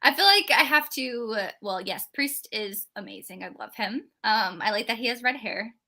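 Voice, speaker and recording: A woman's voice: fairly high pitch, normal speed, pushed and bright. A woman co-hosting a live book discussion, talking casually and rambling with many fillers and restarts. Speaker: book club co-host.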